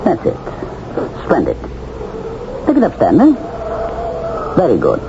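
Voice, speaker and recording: An actor playing a suave, sinister man from a shadowy face-changing company, smooth and coldly persuasive, in 1970s radio drama style. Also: on the high side, wildly swooping pitch, unhurried, full character voice. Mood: silkily menacing. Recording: muffled old radio broadcast, faint hiss.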